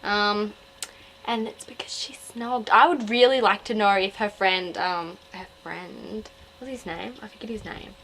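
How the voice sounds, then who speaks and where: A woman's voice: medium pitch, unhurried, a bit bright, a little hiss or hum, low-quality recording. A young woman with an Australian accent, talking casually and digressively to camera as a book review vlogger. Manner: rambling, digressive, self-interrupting.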